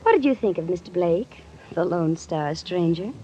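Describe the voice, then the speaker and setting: Actress as coy, expressive vintage radio femme. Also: female voice, on the low side, moderate pace, soft background music, close to an everyday voice. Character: coy, flirtatious, cunning society woman. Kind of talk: 1940s radio acting. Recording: dull, hissy vintage radio recording.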